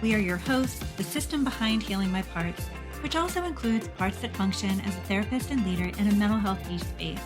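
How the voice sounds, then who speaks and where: A woman's voice: mid-range voice, fairly quick, over music. A woman hosting a podcast, reading a scripted intro and then interviewing a guest in a welcoming, encouraging way. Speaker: podcast host.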